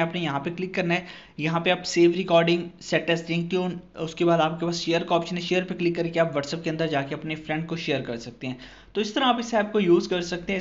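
A man hosting a phone app tutorial voice-over, explaining step by step in an instructional way. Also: high voice. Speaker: tutorial channel host. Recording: dull, muffled lo-fi voice-over.

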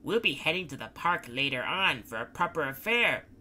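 Guy doing a whiny sleepy grump, high and loud, bright. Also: very animated, normal speed, a little hiss or hum, full character voice. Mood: protesting. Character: grumpy sleepy male townsman.

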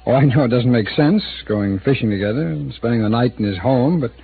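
A man's voice: medium pitch, a little fast, gravelly, slightly rough. An actor playing a guarded, evasive male city attorney who hesitates and hints, in a 1950s radio drama. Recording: tinny lo-fi old radio broadcast with hiss.

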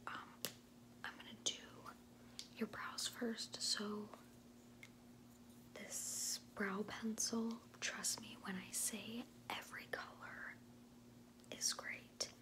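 Girl doing a snotty makeup artist asmr roleplay, young woman, all whispery and slow, super condescending n sassy. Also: mid-range voice, flat delivery, natural voice, rough recording. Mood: condescending, dismissive. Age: 20s. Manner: sassy, condescending, hesitant valley-girl phrasing with drawn-out words. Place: small indoor room at home, in front of a door.

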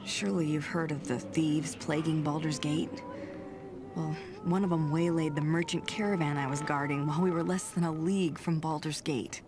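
A woman's voice: low voice, natural voice. A woman voicing a dejected, stoic, hardened caravan guard in a fantasy video game. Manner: weary, stoic. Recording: noisy game capture with ambience.

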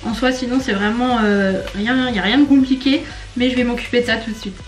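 Woman, thirties, fairly high pitch, chatty, casual cooking vlog narration, conversational. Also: steady tone, fairly quick, soft background music. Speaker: lifestyle and cooking vlogger. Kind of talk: casual vlog narration, talking viewers through food. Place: home kitchen, indoors.

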